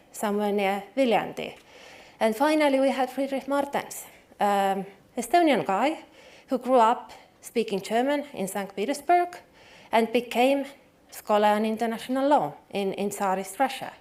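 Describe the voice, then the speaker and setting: A woman introducing the next speaker at a conference, in a conversational, lively way with small slips. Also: a little high, normal speed. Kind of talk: informal introduction speech.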